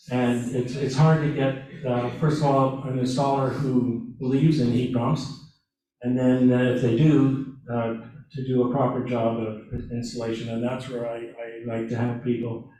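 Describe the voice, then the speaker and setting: A man giving a webinar presentation, speaking haltingly with restarts and fillers, recorded from a distance in a meeting room. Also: medium pitch, level tone, dark tone. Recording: echoey room, from far off.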